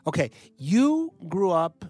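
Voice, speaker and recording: A man's voice: high-pitched, big pitch swings, slow, a little mellow, faint music behind. A man interviewer asking probing questions and rephrasing them as he goes. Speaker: interviewer host.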